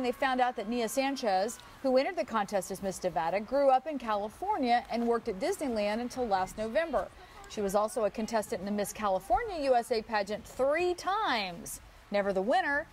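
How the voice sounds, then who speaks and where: A woman's voice: fairly high pitch, lively intonation, fairly quick, a little hiss or hum. A woman anchoring in a TV studio, reading news conversationally with some banter. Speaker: morning show anchor.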